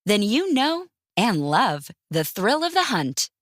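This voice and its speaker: A woman's voice: mid-range voice, big pitch swings, slow, dry recording, studio quality. A woman announcer giving an upbeat scripted advertisement read.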